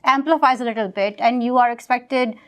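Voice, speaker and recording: A woman's voice: a little high, a little fast, a bit bright. A young woman from Pakistan, a guest author, talking casually in an interview with many fillers and restarts.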